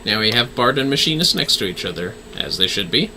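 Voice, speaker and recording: Bright-voiced man casually streaming a game. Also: mid-range voice, lively intonation, a little fast, a little hiss or hum, rough recording. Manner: offhand, sweary joking asides. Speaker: game livestreamer. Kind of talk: casual gameplay commentary.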